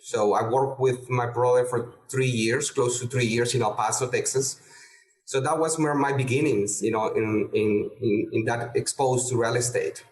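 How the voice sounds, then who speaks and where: Man, halting, in a roomy space. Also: mid-range voice, fairly even intonation. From Peru. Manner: halting, many restarts and fillers. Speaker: guest real estate investor. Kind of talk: casual interview talk.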